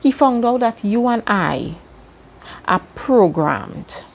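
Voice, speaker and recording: A woman's voice: on the high side, very animated, slow pace, creaky. A woman from Trinidad and Tobago with a Trinidadian accent, teaching a self-help lesson in an earnest way and repeating key words for emphasis. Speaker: self-help video host. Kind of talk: slow motivational teaching monologue.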